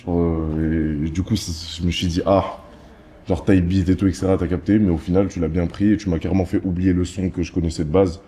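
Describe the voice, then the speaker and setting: Jury guy, super low dark voice, slangy chill feedback, kinda far from mic. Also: fairly quick, a little hiss or hum. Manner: slangy, relaxed. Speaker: jury member. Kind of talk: casual feedback.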